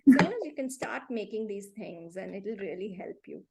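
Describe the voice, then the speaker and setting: A middle-aged woman with an Indian accent gives conversational advice in a live online class, speaking in an emphatic, animated and opinionated way. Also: medium pitch, normal speed, vocal fry, crowd noise. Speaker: reading teacher and parenting expert. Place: online video call.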